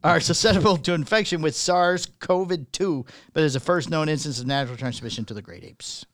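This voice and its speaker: A man co-hosting a talk show, reading news items aloud in a casual way and often halting to find his place. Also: on the high side, a bit bright, dry recording, studio quality. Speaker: podcast co-host reading news.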